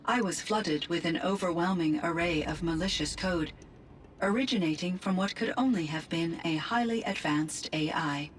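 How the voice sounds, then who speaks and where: A woman voicing a gentle, courteous artificial intelligence in a video game, with precise, measured, formal diction. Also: on the low side, a little hiss or hum, natural voice, low-quality recording. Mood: troubled, confiding.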